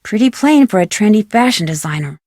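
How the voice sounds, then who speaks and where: An American woman playing a curious, plucky, polite young detective in an adventure video game, with clear, inquisitive delivery. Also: medium pitch, animated, fairly quick, darkish tone, no room sound, studio quality, natural voice. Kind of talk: scripted adventure game dialogue.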